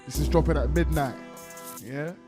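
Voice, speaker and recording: A man with a deep voice, a rapper chatting casually in an interview, relaxed and full of fillers. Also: normal speed, over music. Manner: relaxed, rambling, lots of fillers. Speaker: rapper guest.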